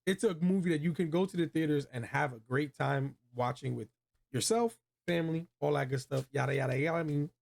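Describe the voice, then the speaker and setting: Enthusiastic higher-pitched American guy, slangy chat. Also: brisk, gravelly, a little mellow. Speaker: host of a movie review show. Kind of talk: casual chat, film review.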